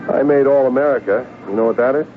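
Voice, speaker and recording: An actor playing a troubled, down-to-earth secret agent in a radio drama, talking in a halting, conversational way. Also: male voice, mid-range voice, close to an everyday voice. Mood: chatty, rueful. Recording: muffled, hissy old radio broadcast.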